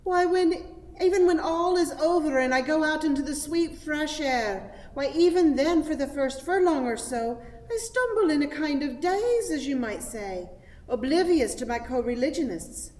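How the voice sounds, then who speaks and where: An actress playing a prim, pious, dreamily distracted spinster in a radio play, with an affected, fluttery, sanctimonious manner. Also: female voice, high-pitched, expressive, normal speed, darkish tone. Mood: airy, self-righteous. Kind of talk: theatrical radio play acting. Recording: echoey hall with long reverb.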